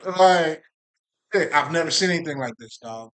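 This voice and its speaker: A man's voice: on the high side, expressive, normal speed, fairly bright tone. A man from Haiti talking casually and profanely to his viewers in a rambling monologue about spirituality, often breaking off to laugh and repeat himself. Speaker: spiritual video creator.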